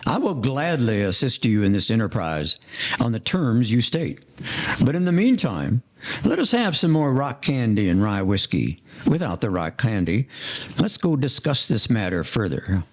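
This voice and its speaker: A man's voice: mid-range voice, sing-song, normal speed. A man acts out an agreeable gangster in an audio drama set in the 1940s. The voice moves widely in a middle register, and the phrasing is emphatic and mock-formal. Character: capable, agreeable male gangster professing to be legit.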